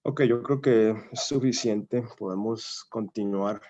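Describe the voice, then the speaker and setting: A man giving an academic talk as a guest lecturer, speaking in a measured, hesitant way with many "eh" fillers. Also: on the low side, a little mellow. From Colombia. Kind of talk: academic talk with a personal story. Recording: muffled lo-fi audio.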